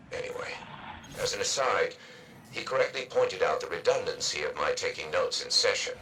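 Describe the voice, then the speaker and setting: A man playing a dry, methodical android psychiatrist dictating a game audio log in a measured, formal, clinical manner. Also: sits low, bright, forceful tone, a little hiss or hum, heavily acted, rough recording.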